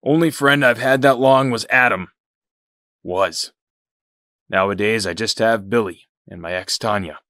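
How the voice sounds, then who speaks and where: Gruff older soldier guy acting, hot headed n bitter, trusts nobody, audio drama, dry mic. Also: fairly low pitch, a little slow, a bit bright, no echo. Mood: bitter, sullen.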